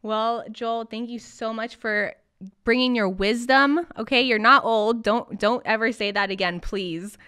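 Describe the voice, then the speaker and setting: Bright, clear-voiced woman hosting. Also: fairly high pitch, measured pace, no echo, clean studio sound. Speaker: podcast host, interviewer. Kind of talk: casual podcast interview.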